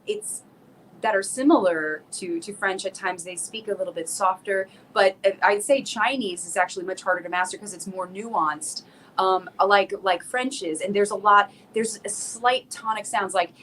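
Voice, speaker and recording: An American woman talks casually as a singer being interviewed, stopping and restarting her thoughts as she goes. Her voice sits at an ordinary middle pitch. The call carries a faint hiss of background noise. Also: normal speed, a bit bright. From South Florida. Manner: chatty, laughs often, many fillers and restarts. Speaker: singer and guest. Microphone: video call.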